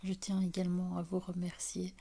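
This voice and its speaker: A woman with a hoarse voice from a cold gives a slow, calm card reading, often half-whispering. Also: fairly low pitch. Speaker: tarot card reader. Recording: loud background noise.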